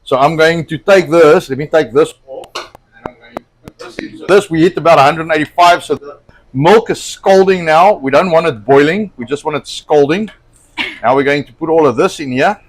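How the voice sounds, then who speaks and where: A man's voice: on the high side, normal speed, low-quality recording. A man whose first language is Afrikaans, hosting a casual cooking livestream from a home kitchen, rambling with plenty of fillers and restarts. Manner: rambling, hesitant, lots of fillers. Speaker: cooking show host.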